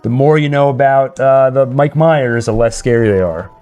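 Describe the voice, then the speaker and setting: A man's voice: medium pitch, normal speed, warm tone, lo-fi sound. A man hosting a livestream, chatting casually and reading out comments. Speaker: livestream host. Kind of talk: casual chat.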